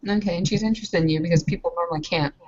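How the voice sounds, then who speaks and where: A woman's voice: fairly low pitch, rapid-fire, darkish tone. A woman playing and narrating a tabletop roleplaying game casually, in hesitant, broken phrases. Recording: dull lo-fi voice recording.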